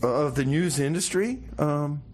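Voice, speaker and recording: A man hosting a casual radio interview, chatty and halting, often repeating words as he builds a question. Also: a little high, slight background noise. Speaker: radio show host, interviewer.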